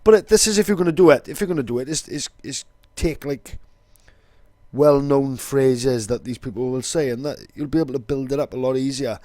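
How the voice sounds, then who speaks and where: A man's voice: medium pitch, brisk, warm tone, no room sound, studio quality. Rambling, sweary young Welsh man. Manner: rambling, sweary, filler-heavy. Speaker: vlogger. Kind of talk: casual explainer.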